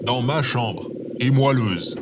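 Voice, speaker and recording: A woman voicing a sullen, philosophizing pet cat in a deadpan, sententious manner for an audio drama. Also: extremely low pitch, slow, heavy background noise. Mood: deadpan, gloomy. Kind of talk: scripted audio drama acting.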